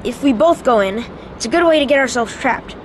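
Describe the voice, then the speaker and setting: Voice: sits high, animated, fairly quick, noisy background. An actor playing a cautious, reluctant young companion in an audio drama, nervous and terse. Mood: wary.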